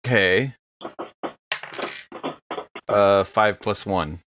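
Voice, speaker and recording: A man's voice: fairly low pitch, extremely slow, background noise. A man chatting casually as a tabletop game player, stammering over the starts of words, in slightly dull sound. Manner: stammering, repeats word beginnings.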